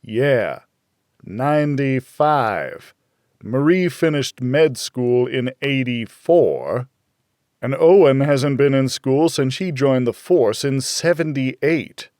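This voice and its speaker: A man's voice: medium pitch, animated, slow pace, a little mellow, dry recording, clean studio sound, voice pushed up. A man voicing a blunt, needling, worried older friend in a noir audiobook. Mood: exasperated.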